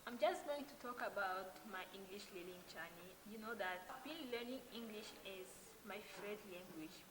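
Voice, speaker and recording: A woman's voice: medium pitch, moderate pace. A roomy-sounding Kiswahili-speaking woman vlogger. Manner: earnest, explains by restating points over and over. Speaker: language-learning vlogger. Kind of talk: casual talk to camera. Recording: roomy echo with clear background noise.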